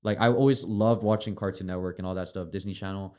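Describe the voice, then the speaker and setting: A man's voice: low voice, steady tone, fast talker, a little mellow, clear, resonant tone. A man whose first language is Chinese, a filmmaker chatting casually as a podcast guest, rambling with lots of fillers and casual swearing. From China.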